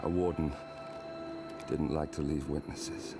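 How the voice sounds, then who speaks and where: An actor playing a grave, brooding male leader of a shadowy operation in a video game, speaking solemnly and deliberately. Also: a bass voice, steady tone, over loud music. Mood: haunted, solemn. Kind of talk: scripted video game cut-scene monologue.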